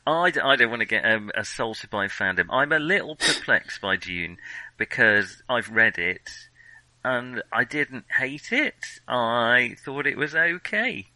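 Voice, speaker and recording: Man, podcast co-host, very bright forceful tone, fairly low pitch, dry close sound, tentative casual chat. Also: expressive, a little slow, no room sound, poor audio. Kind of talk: casual film discussion.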